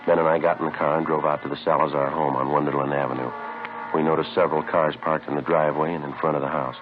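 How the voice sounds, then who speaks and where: Very deep, terse police detective. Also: male voice, quick pace, noisy background. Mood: matter-of-fact. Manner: clipped, deadpan, matter-of-fact. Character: terse, deadpan homicide police detective narrating his case. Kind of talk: 1950s radio drama narration. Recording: muffled 1950s radio recording.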